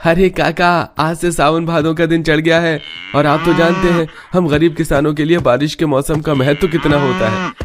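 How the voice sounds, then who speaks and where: High-voiced brash village farmer played by a man. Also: steady tone, normal speed, soft background music, lightly acted. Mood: earnest.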